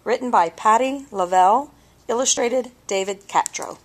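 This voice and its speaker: A woman reading a children's story aloud with deliberate, expressive phrasing and dramatic pauses. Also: a little high, a little hiss or hum. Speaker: storybook reader.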